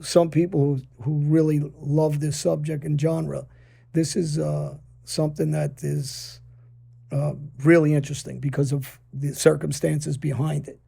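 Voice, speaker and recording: A man's voice: a little high, flat delivery, measured pace, vocal fry, dark tone, husky. A man hosting a casual interview, rambling with many fillers. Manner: rambling, many "uh"s and "you know"s. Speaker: podcast host.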